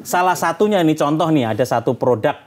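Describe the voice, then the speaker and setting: A man's voice: fairly high pitch, expressive, brisk, a little hiss or hum. A man hosting a casual educational show, with an informal, slangy, bantering way of talking. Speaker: host.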